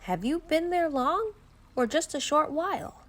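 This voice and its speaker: Lady being a sweet earnest kid in a storybook, eager n emphatic, higher voice. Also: lively intonation, unhurried, fairly bright tone. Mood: friendly. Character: kind, earnest child narrator pleading for a friend.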